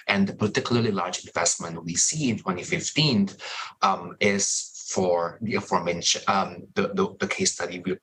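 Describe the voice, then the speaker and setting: A man's voice: low voice, fairly bright tone, husky, poor audio. A young man from Indonesia giving an academic presentation over a video call, hesitant with many fillers and restarts. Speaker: workshop presenter.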